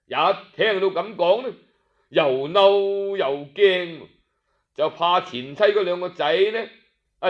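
A man with a Cantonese accent narrating a story in colloquial traditional style, expressive and drawing out his sentence endings. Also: high-pitched. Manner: expressive, with drawn-out particles. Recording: slightly dull, lo-fi audio.

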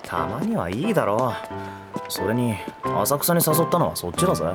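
A man's voice: mid-range voice, very animated, normal speed, loud music behind the voice, close to an everyday voice. A male actor playing a down-to-earth young man in a voice drama, speaking casually and bluntly but with care. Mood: teasing, caring. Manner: casual, rough, blunt speech. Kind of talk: Japanese voice drama acting.

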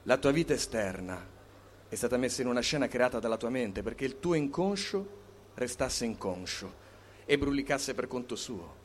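A man voicing the inner unconscious of a character in a dramatic reading, calm, cold and ominous, speaking slowly and solemnly. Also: mid-range voice, pitched below the performer's own voice, natural voice. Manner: slow, solemn, measured. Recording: clear background noise.

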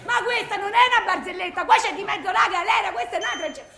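A high-pitched, distraught wife with a thick Roman way of speaking, pours out her case to her lawyer. She rambles, repeats herself and justifies everything in agitated, colloquial bursts. The radio monologue rings in an echoey room. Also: fast talker, vocal fry, sharp tone, exaggerated voice. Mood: aggrieved, self-justifying. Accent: Roman Italian. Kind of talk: theatrical radio monologue, framed as phone messages. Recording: roomy, echoing sound.

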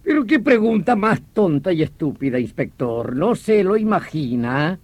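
An actor playing an excitable, irritable French police commissioner in an old radio drama, emphatic and condescending. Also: male voice, high voice, normal speed, no room sound, full character voice. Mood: exasperated.

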